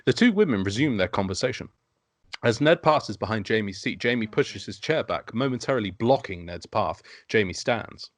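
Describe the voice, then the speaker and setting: A man's voice: on the low side, expressive, normal speed, no echo. A man narrating stage directions from a script at an amateur table read.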